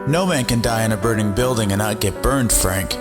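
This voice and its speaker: A man's voice: on the low side, brisk, lightly acted. A man playing an incredulous morgue colleague in a horror audio drama, disbelieving and argumentative. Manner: animated, disbelieving. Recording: reverberant, loud music behind.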